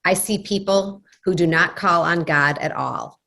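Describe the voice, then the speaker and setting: A woman reciting a poem in a solemn, measured way. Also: on the low side, creaky, pushed and bright.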